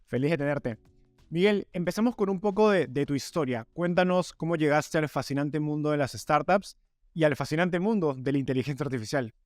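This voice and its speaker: Higher-pitched male podcast host. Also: a little fast, dry recording, clean studio sound, soft background music. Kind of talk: scripted narration and podcast interviewing.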